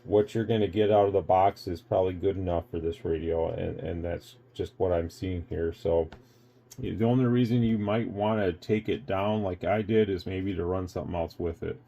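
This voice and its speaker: A man's voice: low-pitched, fairly even intonation, normal speed, creaky, mellow. An American man giving a casual hands-on product review, explaining things in a rambling, matter-of-fact way. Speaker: radio shop owner and product reviewer.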